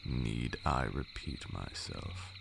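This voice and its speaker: A man playing a commanding vampire lord and magic mentor in a roleplay ASMR, with a gravelly voice and a deliberate, dryly sarcastic manner. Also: noisy background, full character voice. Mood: cold, menacing. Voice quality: gravelly, very deep, breathy at times. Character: stern, teasing old male vampire lord, mentor in magic.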